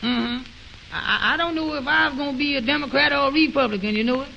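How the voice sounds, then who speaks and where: A very high, heavily creaky voice plays a naive, puzzled fellow in a 1920s radio comedy. He is slow on the uptake and asks halting questions in folksy, ungrammatical speech. The old recording is dull and faintly hissy. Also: sharp tone, heavily acted. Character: naive, slow-witted, questioning man, Amos.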